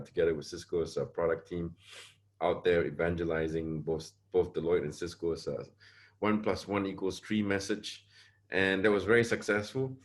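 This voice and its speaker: A middle-aged man from Singapore, a panelist speaking on a webinar video call, answering at length with frequent hesitations and repeated words. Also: low-pitched. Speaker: panelist, security advisor.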